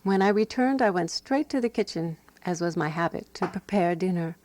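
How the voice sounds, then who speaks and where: An actress playing an exasperated, devoted woman companion who narrates a radio drama murder mystery in a measured, wry way. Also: on the low side, lively intonation, darkish tone, dry recording, close to an everyday voice. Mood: dry, reproachful.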